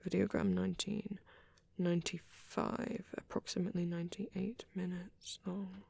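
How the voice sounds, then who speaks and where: A shy vampire boy, played by a man, rambles softly in an ASMR roleplay. His voice is low-key and gravelly with fry, hesitant and bashful. It sounds very close and dry, often sinking toward a whisper. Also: flat delivery, moderate pace, no echo, heavily acted. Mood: quiet, musing. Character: shy, soft-spoken vampire boy on a date. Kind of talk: rambling intimate ASMR chat.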